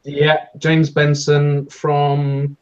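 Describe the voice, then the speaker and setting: A British man with a fairly high voice chats casually as a show co-host. The sound is a bit dull and lo-fi. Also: completely flat delivery, extremely slow, a little hiss or hum. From United Kingdom. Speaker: co-host of a vaping talk show. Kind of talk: casual panel chat.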